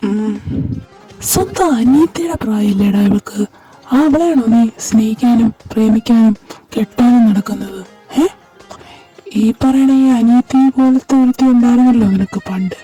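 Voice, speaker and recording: A woman's voice: a little high, moderate pace. Woman doing furious confrontational brother David. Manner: shouting, confrontational. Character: angry protective older brother, David. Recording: distant mic over clear music.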